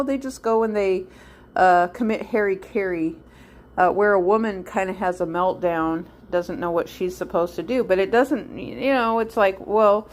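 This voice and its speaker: A woman in her sixties talking in a halting, meandering way, thinking aloud as she reminisces in a personal vlog. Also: medium pitch. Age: 60s. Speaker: vlogger. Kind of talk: rambling personal reminiscence monologue.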